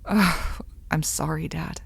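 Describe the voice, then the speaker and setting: An actress playing an earnest, disillusioned grown daughter who questions things, in an old-time radio drama. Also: female voice, sits low, steady tone, slow pace, creaky, breathy edge, no room sound, heavily acted. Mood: subdued, apologetic.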